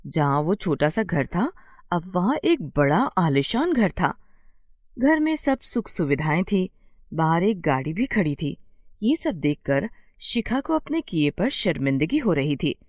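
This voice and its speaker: Female storyteller for scripted bedtime tales: lively, varied intonation, dry close recording. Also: on the low side, measured pace, dry recording.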